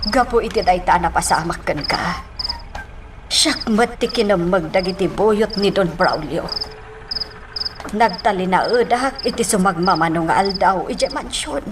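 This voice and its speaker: A woman's voice: medium pitch, unhurried, background noise, lightly acted. A woman with an Ilocano accent playing a worried, emotional mother of a grown son in a radio drama. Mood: troubled.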